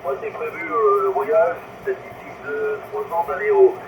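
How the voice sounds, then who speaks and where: A man with an accent from France chatting casually and haltingly as a CB operator over a radio transmission. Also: very high-pitched, flat delivery. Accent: French from France. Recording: thin, noisy long-distance CB signal.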